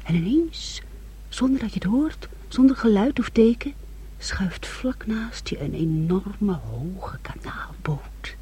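An actress in a Dutch radio play playing a moody, brooding young woman with a very breathy, husky voice. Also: medium pitch, expressive, slow pace, dark tone, heavily acted. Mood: hushed, haunting. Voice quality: very breathy, husky, often near whisper. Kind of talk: Dutch radio drama acting.

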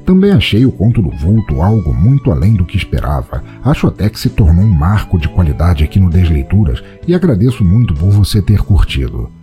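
Deep, animated Brazilian man hosting a podcast. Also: fairly quick, hardly any pauses, faint music behind. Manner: theatrical, playful, informal. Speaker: podcast host. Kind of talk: scripted podcast narration and listener feedback.

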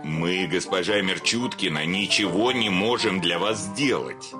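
An actor playing a self-important bank director in a theatrical radio comedy, formal and emphatic, with politeness fraying into exasperation. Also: male voice, low voice, a little slow, over music. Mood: strained patience. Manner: formal, emphatic, increasingly flustered.

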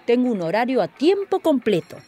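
Mellow-voiced mother telling her story. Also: a little high, big pitch swings, brisk. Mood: proudly professional. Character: proud, witty mother telling her own anecdote. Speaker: narrator and lead in a radio play. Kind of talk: radio drama storytelling.

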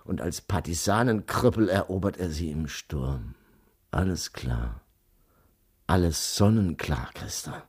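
An actor playing a menacing, jealous German army sergeant in a radio drama, barking threats with an Austrian-Bavarian accent. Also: male voice, low-pitched, animated, a little slow, darkish tone, husky, full character voice. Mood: furious, taunting. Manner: barking orders, threatening, taunting.

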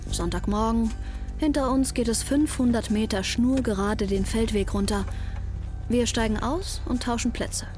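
Actress as dry wry teen girl, bit high voice, radio play with music in it. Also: moderate pace, a little mellow, lightly acted. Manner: dry, matter-of-fact, colloquial. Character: sixteen-year-old daughter, artistic, wry, watchful of her mother. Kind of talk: German radio drama, dialogue and first-person narration.

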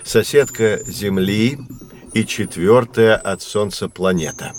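An actor playing a patient, knowledgeable man who explains astronomy to children in a measured, reassuring way in an educational audio drama. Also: fairly low pitch, a little hiss or hum. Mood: explanatory, patient.

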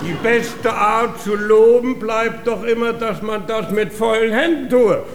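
A worldly, self-important music master with an eye on money. His voice is strikingly high for a man, with theatrical pomp. It is a radio comedy recorded with a roomy sound and faint noise. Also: a little slow. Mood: wry, worldly. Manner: pompous, theatrical. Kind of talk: German radio play, theatrical comedy acting.

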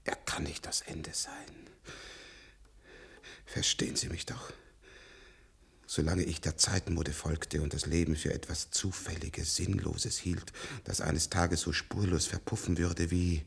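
Weary elderly scientist, radio play. Deep, creaky voice, bitter, emphatic, stumbling over repeats. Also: male voice, exaggerated voice. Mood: urgent pleading. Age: older. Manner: halting, repeating words, emphatic. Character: weary, bitter old professor, captive of medicine, longing for death. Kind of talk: German radio play acting.

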